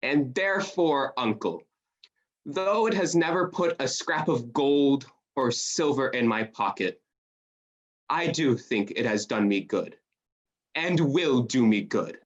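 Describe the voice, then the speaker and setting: An actor playing a warm-hearted, earnest young gentleman, a cheerful nephew, with hearty declamation in a radio play. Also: mid-range voice, lively intonation, slow, dull audio.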